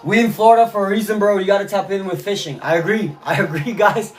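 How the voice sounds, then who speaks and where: Man from cuba, live stream chat, slightly high voice, faint music behind. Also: fairly even intonation, fast. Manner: reads chat aloud, answers viewers, repeats phrases. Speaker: livestreamer.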